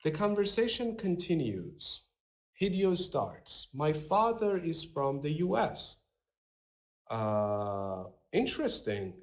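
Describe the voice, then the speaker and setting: Slow, patient man teaching English. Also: a little high, very animated. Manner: slow, explains simple words, reads dialogue aloud. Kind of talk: recorded online lesson walking through a workbook.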